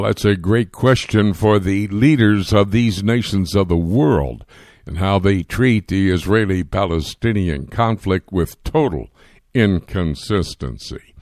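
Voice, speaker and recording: An American man hosting a religious current-affairs radio interview, speaking in a formal, emphatic and deliberate way. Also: fairly low pitch, a little mellow, no room sound, studio quality. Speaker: radio show host.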